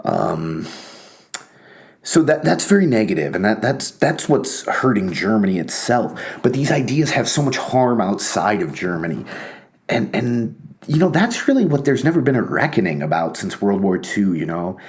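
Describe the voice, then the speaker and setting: A man talking off the cuff in a rambling, halting way, full of fillers and restarts, with a wry self-mocking streak. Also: on the low side, very animated. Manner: wry, laughs at himself. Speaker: commentator on books and ideas. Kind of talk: casual monologue.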